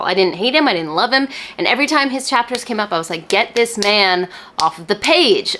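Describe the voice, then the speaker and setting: A woman's voice: mid-range voice, pushed and bright. A woman chatting casually to camera as a book reviewer, enthusiastic and emphatic, with informal phrasing and casual swearing.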